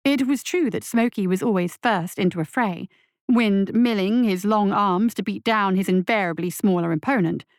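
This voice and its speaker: A woman's voice: medium pitch, moderate pace, dry recording, studio quality. A woman reading a scripted fiction story aloud as its narrator.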